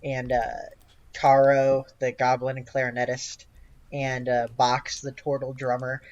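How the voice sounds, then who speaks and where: A man narrating an improvised tabletop game as game master, with a hesitant, halting delivery. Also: mid-range voice, completely flat delivery, dull audio.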